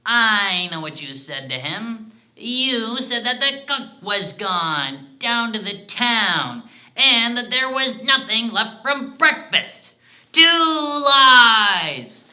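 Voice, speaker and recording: A man's voice: sits high, slow, bright, forceful tone, voice pushed up, full character voice. A man voicing a tiny shabby dwarf, the mocking, cackling conscience of a narrator, in a dramatic story performance. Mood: sly accusing. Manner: leering, taunting, cackling. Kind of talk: dramatic solo short-story interpretation.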